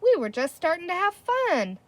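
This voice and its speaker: A woman voicing a cheerful, curious little girl in a storybook, with a bright, childlike manner. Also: extremely high pitch, very animated, normal speed, pitched above the performer's own voice.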